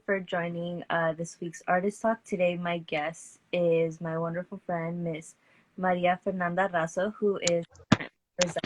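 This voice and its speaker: A woman's voice: on the low side, low-quality recording. A woman hosting a casual livestream artist talk, chatty and upbeat, greeting viewers by name.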